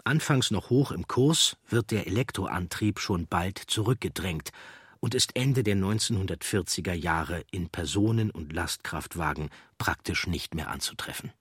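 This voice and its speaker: A man reads scripted documentary narration in a measured, factual way. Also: deep voice, expressive, fairly bright tone, breathy edge, no room sound, studio quality.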